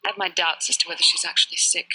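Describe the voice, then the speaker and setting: A woman's voice: fairly low pitch, flat delivery, racing, sharp tone, breathy edge, exaggerated voice, poor audio. A woman playing a proud, guarded young warrior-monk and resentful daughter in a video game, speaking formally, clipped and earnest. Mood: troubled, resentful. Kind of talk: dramatic scripted game dialogue.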